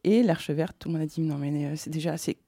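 Mellow, low-voiced woman. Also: dry recording, studio quality. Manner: hesitant, halting storytelling. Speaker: novelist interviewed about their first book. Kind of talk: conversational literary interview.

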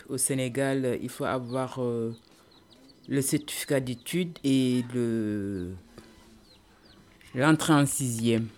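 A woman's voice: rumbling low, a little mellow, slight background noise. A woman from Senegal, telling her life story with frequent hesitations. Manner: halting, with many hesitations. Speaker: interviewee.

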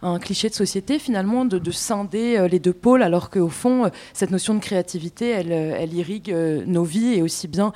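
A woman's voice: lowish voice, brisk, few pauses, dark tone. A woman putting a formal spoken question to the guests of a panel discussion.

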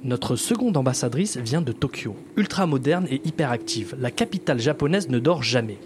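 A man's voice: medium pitch, animated, moderate pace, noisy background. A man hosting a radio show, reading scripted narration and then chatting with guests in a friendly, teasing way. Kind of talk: scripted narration and casual interview.

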